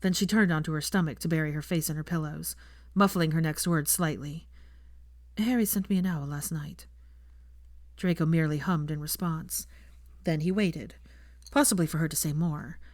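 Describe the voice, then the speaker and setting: A woman narrates fiction from a script. Her voice sits a little low for a woman. The recording is dry, with hardly any room sound. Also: steady tone, brisk, hardly any pauses, no room sound.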